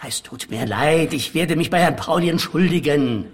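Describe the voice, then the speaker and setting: An actor playing a defensive, debt-ridden beauty doctor in a comic detective radio play, emphatic and self-justifying. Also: male voice, a little high, lively intonation, moderate pace. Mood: indignant.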